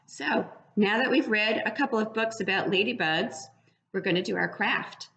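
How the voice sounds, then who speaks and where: Older lady, creaky voice, walking little kids through a craft step by step, dull webcam audio at home. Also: mid-range voice, normal speed, fairly bright tone, a little hiss or hum. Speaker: preschool educator. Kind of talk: explaining a children's craft. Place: living room at home, indoors. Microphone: webcam at about arm's length.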